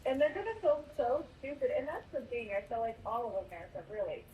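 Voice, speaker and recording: A woman's voice: on the high side, very animated, fast. A woman chatting casually on a jail phone call, incredulous, scoffing and swearing freely. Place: phone call played in courtroom. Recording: noisy jail phone call, played back distantly.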